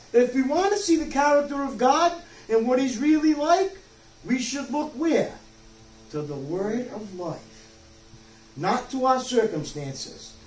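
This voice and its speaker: An older man delivers a church sermon in a high, raised voice. He is emphatic and keeps checking in with short questions. The sound is distant and echoing, with some noise behind him. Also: a little mellow. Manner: emphatic, rhetorical questions, check-ins like "okay?" and "you see?". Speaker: pastor. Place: church sanctuary, preaching from a wooden pulpit. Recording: roomy distant mic, some background noise.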